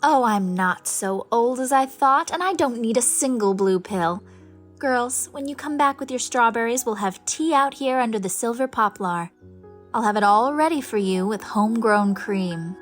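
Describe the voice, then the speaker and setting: A woman playing a tender, fanciful ageing spinster with an expressive manner in a dramatised audiobook. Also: fairly high pitch, normal speed, faint music behind, natural voice. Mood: delighted. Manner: wistful, expressive.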